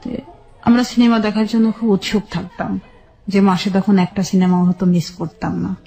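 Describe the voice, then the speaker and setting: A woman with a Bangladeshi accent telling her personal life story as a radio guest, recalling it haltingly in short phrases. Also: medium pitch, little pitch movement, vocal fry, warm tone.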